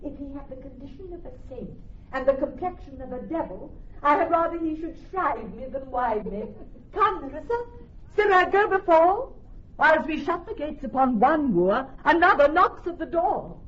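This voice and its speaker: A woman's voice: high voice, expressive, moderate pace. A woman playing a witty, wry young heiress in classical Shakespearean radio drama, articulate and theatrical. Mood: dryly mocking. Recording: dull, muffled radio recording.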